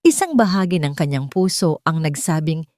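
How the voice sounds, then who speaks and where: A woman's voice: low-pitched, sing-song, normal speed, darkish tone, no echo, clean studio sound. A woman reading a scripted dramatic audiobook as the story's narrator.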